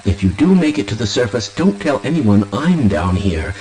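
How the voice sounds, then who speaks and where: A man's voice: mid-range voice, sing-song, moderate pace, darkish tone, a little hiss or hum, lightly acted. An actor playing a frightened man in hiding in a video game, speaking in an urgent, curt manner. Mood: fearful, urgent. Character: frightened, jumpy man hiding during a disaster.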